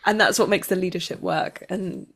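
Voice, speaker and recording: A woman's voice: fairly low pitch, steady tone, moderate pace, vocal fry, breathy edge. A woman talking casually and reflectively as an actor being interviewed.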